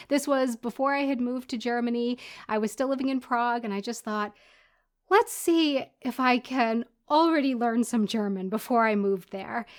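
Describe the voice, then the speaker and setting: A woman from the United States, a podcast guest chatting casually about her life and laughing often. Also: sits high. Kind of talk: casual storytelling.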